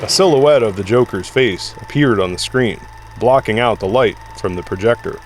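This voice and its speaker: A man's voice: lowish voice, moderate pace, slight background noise. A man narrating an audio drama in a dramatic, scripted storytelling style. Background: dramatic music.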